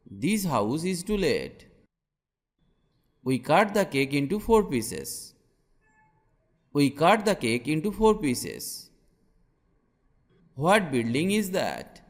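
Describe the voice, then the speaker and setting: A man teaching English to beginners, reading each short sentence twice, slowly and clearly. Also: fairly high pitch, big-room sound. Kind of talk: slow repeated phrase drill for learners.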